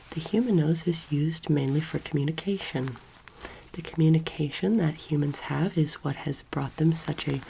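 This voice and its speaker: Soft-spoken young woman, very even pitch. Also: slight background noise, low-quality recording. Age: young adult. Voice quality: soft, breathy, often near whisper. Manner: slow, gentle, deliberate instruction. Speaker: ASMR roleplayer as alien anatomy teacher. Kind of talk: soft-spoken ASMR roleplay lecture.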